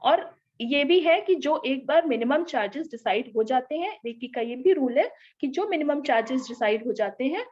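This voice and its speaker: A woman with a high, lively voice teaches an online class, explaining step by step and often checking that her listeners follow. Her throat is slightly hoarse. The audio is dull and lo-fi, like a video call. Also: moderate pace, few pauses. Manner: explanatory, often asks "ठीक है?" to check understanding. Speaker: Reiki teacher.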